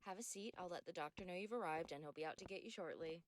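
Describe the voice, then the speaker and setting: An actress playing a courteous, businesslike institute receptionist in an audio drama. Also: female voice, on the low side, quick pace, very noisy, close to an everyday voice. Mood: welcoming. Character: polite, efficient female receptionist at an institute.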